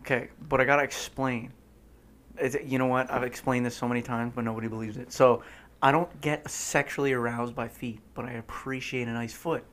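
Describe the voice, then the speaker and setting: A young man in his twenties, co-hosting a podcast in animated, joking casual chat. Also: medium pitch, fairly quick. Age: 20s. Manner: animated, jokey, says bro a lot. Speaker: podcast co-host.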